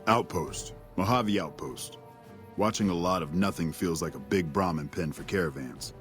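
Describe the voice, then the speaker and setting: An actor playing a weary, cynical soldier at a desert outpost in a video game, terse and dry. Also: male voice, deep voice, normal speed, fairly bright tone. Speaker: army sergeant character. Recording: music behind the voice.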